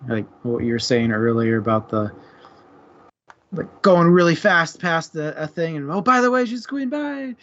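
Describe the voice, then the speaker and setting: A man's voice: a little high, animated, a little slow, long pauses, a bit bright, dull audio. A man co-hosting a casual book discussion, speaking informally and loosely.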